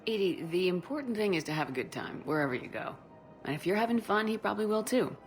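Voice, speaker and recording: A woman's voice: mid-range voice, very animated, quick pace. A woman playing a frank, encouraging female military commander in a video game, speaking directly and reassuringly. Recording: background music.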